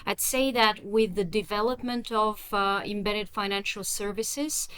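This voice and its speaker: A woman answering interview questions as a chief executive, in a measured, articulate way with hesitations between phrases. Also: mid-range voice, fairly even intonation, a bit bright, a little hiss or hum. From Bulgaria.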